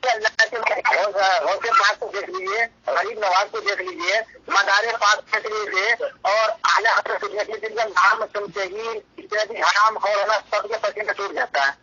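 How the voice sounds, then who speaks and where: A man's voice: high-pitched, fairly even intonation, normal speed, pushed and bright, slightly rough. A man arguing about religion on a recorded phone call in a combative, scolding way. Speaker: debater in a phone argument. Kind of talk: religious debate over the phone. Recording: noisy, dull phone call recording with clicks.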